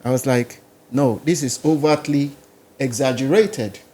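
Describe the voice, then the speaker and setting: A man's voice: medium pitch, measured pace, dark tone. A man with a Nigerian accent, a musician guest in a podcast interview, talking in a rambling, casual way. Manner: rambling, casual, listing places and languages.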